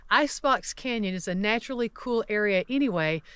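A woman in her fifties with a smooth, clear voice, agreeing enthusiastically as she co-hosts a hiking vlog. She talks outdoors into a handheld camera. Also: medium pitch, lively intonation, fairly quick, a bit bright, dry recording. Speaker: travel vlog co-host. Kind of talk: casual hiking chat. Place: outdoors on a desert trail. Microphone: handheld camera at arm's length.

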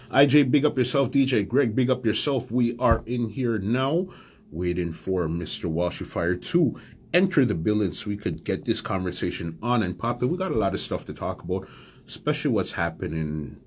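Animated man hosting a live stream. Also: low voice, slight background noise. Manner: animated, hypes the audience with shout-outs. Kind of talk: casual live stream chat. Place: small room at home, blue curtain behind. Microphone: phone or webcam at close range.

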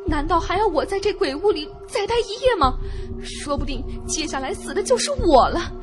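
A woman's voice: very high-pitched, sing-song, fairly quick, over music. A woman playing a frightened, hysterical young woman in a horror radio drama, agitated and pleading. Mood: panicked, pleading. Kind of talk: Mandarin audio drama acting.

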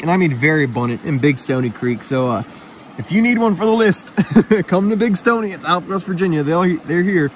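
Young man, fairly high voice, enthusiastic and chatty, outdoors by a creek, body camera, faint running water. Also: a little hiss or hum. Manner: enthusiastic, chatty, filler-laden. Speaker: fishing vlogger. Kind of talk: casual outdoor narration.

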